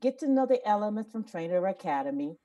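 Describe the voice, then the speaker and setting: A woman's voice: medium pitch, sing-song, fast, warm tone, lo-fi sound. A woman on a group video call, chatting casually and rapping as an amateur. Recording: distant video-call microphone.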